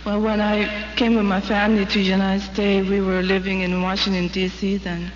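A woman's voice: mid-range voice, completely flat delivery, moderate pace. A woman from North China, raised in Hong Kong, telling her story hesitantly in a stage interview. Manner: hesitant, plain storytelling with fillers. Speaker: interviewee giving her experience. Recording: dull, hissy recording in an echoey hall.

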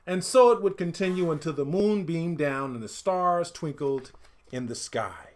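Animated, higher-voiced man reading a storybook. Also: moderate pace. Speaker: storyteller reading a picture book aloud. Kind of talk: expressive storybook read-aloud. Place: indoors, small home studio room.

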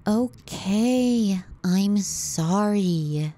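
A woman playing a teasing, callous, clingy younger sister in an intimate ASMR roleplay, sardonic and often hushed. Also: medium pitch, animated, drawn-out words, vocal fry, exaggerated voice. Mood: reluctantly caring. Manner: sardonic, needling, dropping into hushed murmurs.

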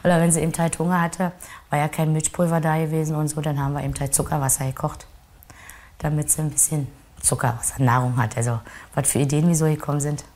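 Low-voiced woman recalling her past. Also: level tone, fast, darkish tone. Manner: plain spoken, rambling with fillers. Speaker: interviewee, victim's sister. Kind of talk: recorded interview recollection.

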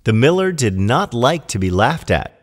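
A male narrator reads a storybook tale with lively, varied intonation. His delivery is deliberate and expressive, as in scripted narration. The voice rings with a long, hall-like reverb. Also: mid-range voice.

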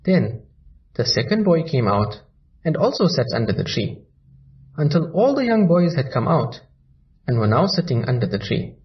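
Guy reading a storybook for kids, voice rises and falls a lot, kinda muffled. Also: a little high. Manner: slow, lively storybook reading. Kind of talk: scripted children's story narration.

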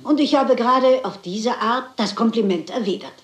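An actress playing a shrewd, composed elderly lady detective in a German crime radio play, speaking in a measured, polite and resolute way. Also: on the high side, animated, reverberant, natural voice. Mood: composed, determined. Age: older.

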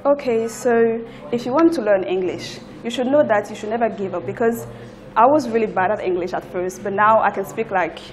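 A woman's voice: mid-range voice, moderate pace. A woman in her twenties with an Ivorian accent, giving an interview testimonial as a language student. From Ivory Coast. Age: 20s. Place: indoors at a language school. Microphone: lapel.

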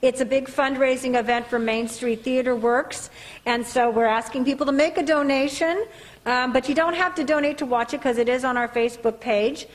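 A middle-aged woman giving an upbeat, casual live TV interview as a theater guest, speaking through a cloth mask. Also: high voice. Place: indoor theater set. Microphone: handheld microphone, speaking through a cloth mask. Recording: reverberant hall-like room.

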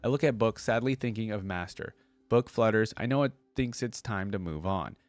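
A man reading aloud as a gentle magical cat narrating a storybook tale, measured and expressive. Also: on the low side, no echo, natural voice. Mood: wistful, tender.

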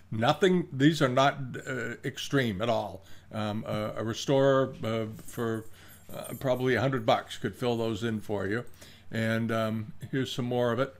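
An older man with a creaky, gravelly voice talks through auction items off the cuff. He pauses to think and often repeats his words as he goes, speaking as a seasoned host seated at home. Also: medium pitch. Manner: halting, repeats phrases, musing. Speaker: antiques auction commentator, host. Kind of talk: unscripted commentary on auction items. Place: furnished room at home, indoors.

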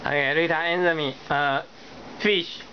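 A man's voice: fairly high pitch, fairly even intonation, unhurried. A man, a parent giving a young child short, repeated prompts in casual vocabulary practice at home. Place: small bedroom at home. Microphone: handheld camera's built-in microphone. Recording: dull, noisy home camera audio.

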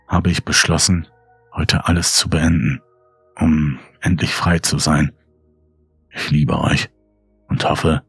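A man reading a horror story as scripted dramatic audiobook narration, grave, measured and brooding. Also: extremely low pitch, breathy edge, no room sound. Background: faint music.

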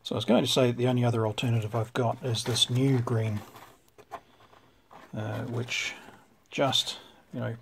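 A man's voice: medium pitch, little pitch movement, moderate pace, long pauses. New Zealand man, noisy workbench recording. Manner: conversational, thinking aloud. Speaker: vintage radio repairer presenting. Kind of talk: casual explanatory workbench talk. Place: indoors at a workbench. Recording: clear background noise with clicks.

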